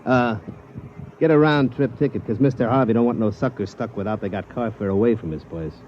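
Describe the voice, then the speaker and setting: A man's voice: medium pitch, fairly quick, close to an everyday voice. An actor playing a streetwise but good-hearted casino tout, with slangy, confiding wise-guy talk in a 1950s radio drama. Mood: resigned, advising. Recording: dull, noisy vintage radio recording.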